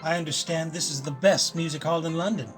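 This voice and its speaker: A man playing a polite, plucky gentleman hero in an audio play, courteous, earnest and a little wry. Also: fairly high pitch, fairly quick, faint music behind, natural voice. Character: polite, plucky Canadian gentleman hero, newly arrived in London.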